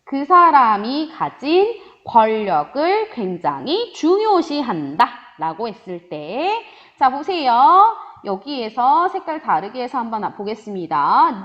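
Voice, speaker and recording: A woman's voice: on the high side, slow pace. A woman teaching a recorded grammar lesson, asking and answering her own questions in a rising and falling teacherly tone. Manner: lively, rising and falling teacherly sing-song, voices student questions herself. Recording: dull lo-fi recording.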